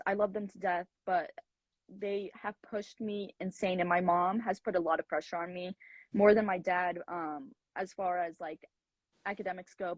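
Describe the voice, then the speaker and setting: A woman's voice: fairly low pitch, no room sound, highs cut off. Young college-age woman, dry close sound. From United States. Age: around 20. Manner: chatty, frequent fillers. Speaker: college golfer, guest. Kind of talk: casual interview answers.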